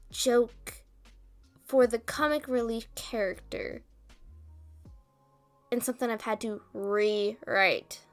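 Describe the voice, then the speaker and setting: A woman playing a rambling, self-deprecating roommate in a casual ASMR roleplay, talking in a halting, defensive, conversational way. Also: fairly high pitch. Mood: weary rambling. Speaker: audio roleplay performer and script writer.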